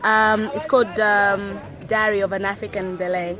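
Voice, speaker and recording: A young woman from Zambia with a Zambian accent, a blogger and journalist introducing herself in an upbeat, quick way outdoors. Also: medium pitch, flat delivery. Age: young adult. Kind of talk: self-introduction to camera. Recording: traffic noise outdoors.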